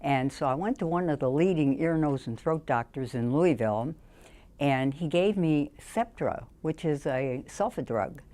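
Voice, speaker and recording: An older woman, chatting lively and laughing often as she tells her story as a patient. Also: low-pitched, moderate pace. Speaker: patient giving a testimonial. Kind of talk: personal testimonial.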